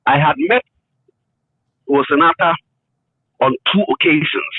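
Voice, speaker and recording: A middle-aged man with a Ghanaian accent telling his story in an interview, in deliberate phrases with emphatic repetition. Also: fairly high pitch, very animated, slightly rough, no echo. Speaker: interview guest. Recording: thin phone-like line, muffled detail.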